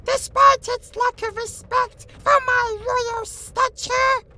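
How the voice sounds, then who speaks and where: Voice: very high-pitched, level tone, slow. A performer voicing a tiny, pompous, haughty pixie prince in a fantasy audio drama. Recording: prominent music under the voice.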